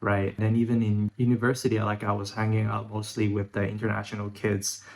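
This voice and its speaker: A man's voice: on the low side, monotone, brisk, a little mellow. A man in his thirties from Hong Kong and Singapore, giving an informal, candid personal monologue as a vlogger. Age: 30s. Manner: informal, candid, occasional swearing. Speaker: YouTube vlogger. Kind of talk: personal reflective monologue to camera.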